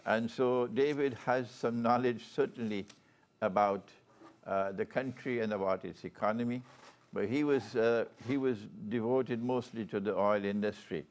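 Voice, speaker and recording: An older man with a Trinidadian accent hosts an interview show, speaking in a formal, measured and deliberate manner. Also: mid-range voice, steady tone. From Trinidad and Tobago. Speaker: talk show host. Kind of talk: formal guest introduction and interview.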